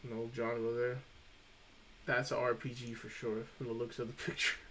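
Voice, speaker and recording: A man's voice: medium pitch, little pitch movement, moderate pace, a bit bright, slight background noise. A man chatting casually on a live stream about game trophy lists, rambling and saying "freaking" often.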